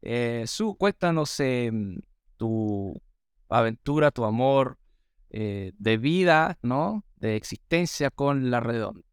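A man's voice: mid-range voice, slow pace, clear, resonant tone, no echo, clean studio sound. Australian-born man hosting a podcast. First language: English. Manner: lively storytelling with fillers. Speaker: podcast host. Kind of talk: casual chat.

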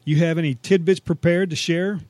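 A man's voice: on the high side, steady tone, a little fast, darkish tone, dry recording. A man co-hosting a radio show, bantering in a teasing, jokey way.

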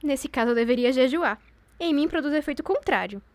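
A woman's voice: high-pitched, expressive, a little fast, no room sound. A woman playing a proud young bride in a radio drama, speaking with elegant, mocking false sweetness. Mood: falsely cheerful.

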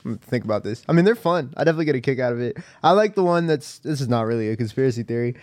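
A man's voice: mid-range voice, animated, rapid-fire, a little mellow, dry recording. A young man guesting on a podcast in a studio, laid-back and joking, with casual swearing. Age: young adult.

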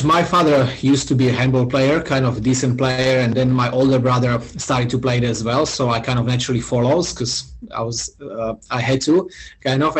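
Slovak guy with an accent, bit husky, talks slow and halting with lots of uhs. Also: mid-range voice, low-quality recording. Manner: halting, with frequent "uh" and restarts. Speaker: podcast guest, founder. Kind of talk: casual interview answers.

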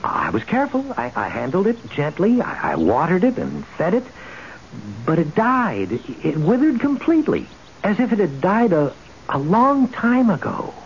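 An actor playing a nervous, awestruck neighbour who stammers and halts, in an old radio drama. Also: male voice, high-pitched, huge pitch swings, full character voice. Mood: puzzled, nervous. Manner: halting, stammering. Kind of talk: 1970s radio drama acting. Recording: dull, hissy old radio recording.

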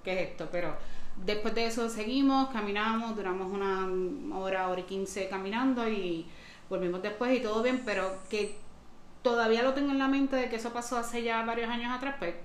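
A woman's voice: a little high, brisk. A woman from the Dominican Republic, chatting casually as a podcast guest and dropping English fillers into her talk. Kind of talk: casual conversation. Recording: roomy echo with faint background hiss.

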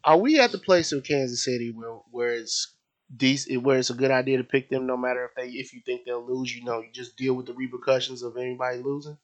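A man's voice: medium pitch, quick pace. An American man co-hosting a sports podcast, chatting casually about football in an informal, slangy, opinionated way. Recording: dull, lo-fi podcast audio.

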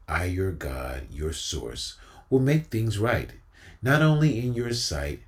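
Deep-voiced, slow, solemn man reciting spoken word. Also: a little mellow. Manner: solemn, exhortative, rhythmic rhyming delivery with emphatic repetition.

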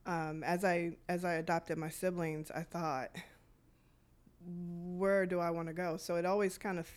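A woman's voice: fairly low pitch, little pitch movement, measured pace. A middle-aged woman from Detroit, a youth programs director, telling her life story in a podcast interview, reflective and full of fillers.

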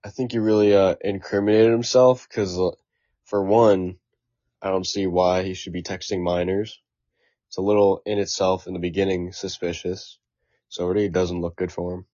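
A young man guests on a casual podcast with a very deep, flat, mellow voice. He speaks in a hesitant, measured way. The sound is dry and dull. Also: dry recording. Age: young adult.

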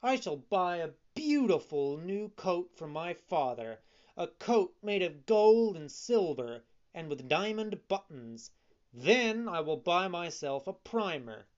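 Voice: mid-range voice, very animated, slow, muffled recording, pitched above the performer's own voice. A narrator voicing a naive, kind-hearted wooden puppet boy, eager and earnest, in a storybook reading. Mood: excited.

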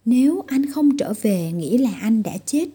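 A woman's voice: fairly high pitch, animated, normal speed, mellow, breathy edge. A woman voicing a kind young wandering man in a fairy tale, plain and resolute. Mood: solemn.

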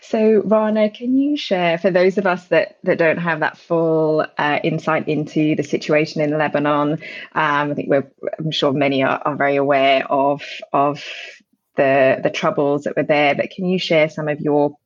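A woman hosting a podcast interview, reading a guest introduction and asking careful, measured questions. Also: sits low, steady tone. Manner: measured, careful phrasing with some restarts. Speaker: podcast host. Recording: dull, lo-fi sound.

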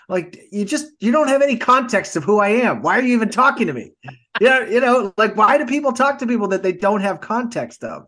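A man's voice: on the high side, fairly bright tone. A man co-hosting a podcast in casual chat, talking in a hesitant, rambling way. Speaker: podcast co-host.

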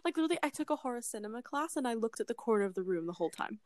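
A woman's voice: on the high side, lively intonation, racing, dry recording, clean studio sound. A young woman with a British accent, chatting casually as a podcast guest and laughing often. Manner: giggly, laughs often between phrases. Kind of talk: casual chat.

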